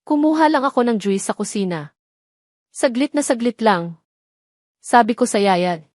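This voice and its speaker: A woman's voice: fairly high pitch, expressive, a little slow, darkish tone, no echo, clean studio sound. Woman voicing a frightened, pleading young mother. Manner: stammering, breathy, near whisper. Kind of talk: Tagalog audio drama acting.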